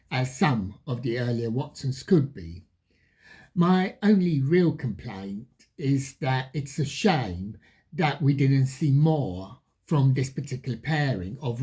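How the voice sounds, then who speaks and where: A film reviewer addressing viewers in an emphatic, enthusiastic manner with deliberate phrasing. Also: medium pitch, darkish tone, slightly rough.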